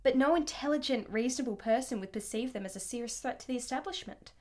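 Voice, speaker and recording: Actress as refined young lady, fairly high voice. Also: fast, natural voice. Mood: articulate, keen. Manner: polite, articulate, self-possessed. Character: refined, gracious, intelligent young wife in 1870s Italy. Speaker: actress in a radio play. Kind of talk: radio drama acting.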